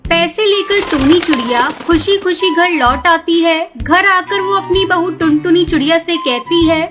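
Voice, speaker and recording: A woman's voice: extremely high pitch, a little slow, over music. A woman reading scripted narration for a children's cartoon story.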